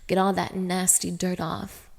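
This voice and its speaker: A woman's voice: lowish voice, little pitch movement, unhurried, vocal fry, slightly rough, full character voice. A woman playing a cruel, haughty young dominatrix in an audio roleplay, speaking straight to the listener with taunting, commanding contempt. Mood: contemptuous, commanding. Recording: reverberant, echoing room.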